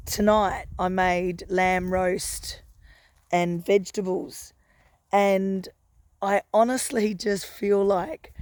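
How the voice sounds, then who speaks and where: A hoarse Australian woman with a croaky voice, musing gently in a casual vlog and often adding "you know". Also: mid-range voice, slight background noise. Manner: gentle, unhurried, warm-hearted musing with many "you know" fillers. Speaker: lifestyle vlogger. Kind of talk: casual reflective chat to camera.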